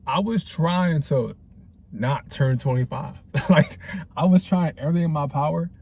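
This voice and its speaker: Young man musing in slang, mellow voice. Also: a little high, measured pace, a little hiss or hum. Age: 20s. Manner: slangy, rambling, reflective. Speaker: vlogger. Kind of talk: casual talking-head vlog.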